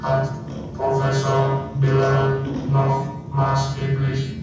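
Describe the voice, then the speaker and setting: An actor playing a matter-of-fact, resigned technician in a science fiction radio drama, speaking in a dry, unhurried way. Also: male voice, mid-range voice, monotone, over music. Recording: distant, echoey, slightly dull recording.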